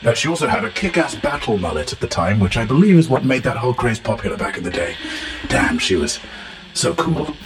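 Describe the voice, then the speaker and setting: A man's voice: mid-range voice, very animated, brisk, music in the background. A man voicing a pompous, bumbling professor who rambles in flowery speech with blunt asides, in a comic fantasy game. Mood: pompous, teasing. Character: pompous, bumbling, long-titled male professor of adventure lore. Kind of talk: comic in-character monologue as a recorded message.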